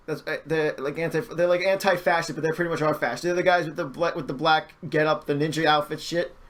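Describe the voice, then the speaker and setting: A man with a slightly high voice talks casually on a podcast. His delivery is informal and jokey, with halting stretches full of fillers. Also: steady tone, fairly bright tone. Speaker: podcast co-speaker. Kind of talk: casual chat.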